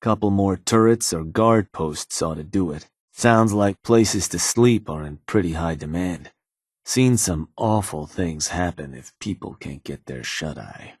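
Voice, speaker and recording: Game role: a laconic raider lieutenant, very low husky voice, dry studio sound. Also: male voice, animated, unhurried, no room sound, exaggerated voice. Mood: matter-of-fact. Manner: terse, businesslike, dry. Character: gruff male raider lieutenant, laconic, world-weary. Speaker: game character. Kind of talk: video game voice lines.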